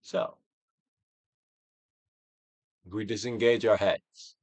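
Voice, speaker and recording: A man giving a theatrical, Shakespearean-style dramatic reading of a self-help book, with deliberate pauses. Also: lowish voice, fairly even intonation, no echo. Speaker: audiobook reader, actor.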